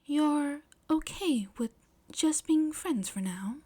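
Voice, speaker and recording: A woman acts out a teenage schoolgirl for an audio story. The voice is high and soft, often breathy, and the delivery is polite, halting and easily flustered. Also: expressive. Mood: awkward, polite.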